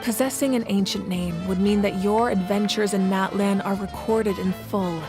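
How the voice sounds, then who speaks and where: A woman acts a resolute warrior goddess in a video game. The voice sits at a middle pitch, solemn and measured, with formal phrasing and faint music underneath. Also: lightly acted. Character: composed, resolute warrior goddess ruling a nation.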